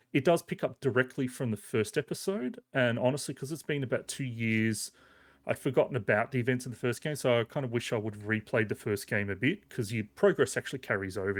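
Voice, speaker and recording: Guy on a games podcast, mid pitched voice, chatty review, kinda rambly but into it. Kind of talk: casual conversational game review.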